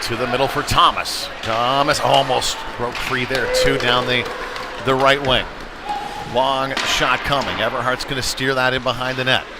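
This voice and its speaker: A man's voice: mid-range voice, little pitch movement, moderate pace, over a noisy crowd, heavy background noise. A man calling a live ice hockey game in an informal, conversational play-by-play style. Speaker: play-by-play sports commentator. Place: sports rink during a live game. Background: loud arena music.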